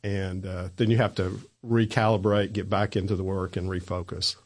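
An older man from Tennessee with a bass voice, chatting in a relaxed, folksy way as an interview guest. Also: moderate pace, breathy edge. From Tennessee, USA. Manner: relaxed, folksy, good-humored. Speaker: guest, newspaper columnist. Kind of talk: casual interview chat.

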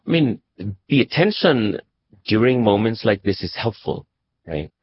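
A man with a very low, somewhat husky voice talks as a journalist being interviewed. He is from Nepal and explains his views reflectively, often adding "right?" and "you know". The recording is a little dull and muffled. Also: very animated, a little slow, dry recording. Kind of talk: conversational interview commentary.

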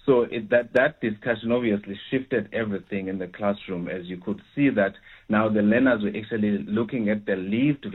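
A man, an education researcher answering interview questions hesitantly, with many fillers and restarts. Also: lowish voice. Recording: tinny phone line.

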